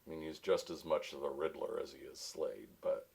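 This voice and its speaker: A voice actor with a very deep, resonant voice, answering questions in a casual interview with dry wit. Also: male voice, normal speed, vocal fry, a little mellow, husky.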